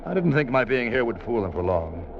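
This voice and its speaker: An actor playing a wry, cool American secret agent in a 1950s radio thriller, narrating and talking with a flippant, nonchalant air. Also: male voice, mid-range voice, very animated, fairly quick, highs cut off, background noise. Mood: flippant, teasing. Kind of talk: 1950s radio thriller acting, first-person narration and dialogue.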